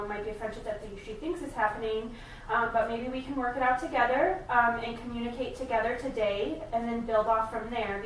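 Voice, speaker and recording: A woman playing a supportive family therapist in a role-play, speaking in a hesitant, gently validating way. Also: fairly high pitch, lightly acted. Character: supportive, attentive female family therapist leading a session. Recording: echoey room, distant mic, faint noise.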